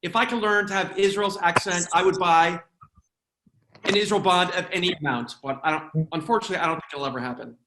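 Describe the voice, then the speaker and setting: American comic emcee, high bright voice, playful asides, echoey room with a little hiss. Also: male voice, brisk. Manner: jokey, chatty, playful asides. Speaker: emcee and comedian. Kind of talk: comic hosting of an online event.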